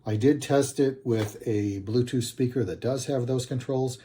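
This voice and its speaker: Mellow, unhurried man giving a casual review. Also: medium pitch. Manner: hesitant, rambling, plain-spoken. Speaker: product reviewer. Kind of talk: casual unscripted video review.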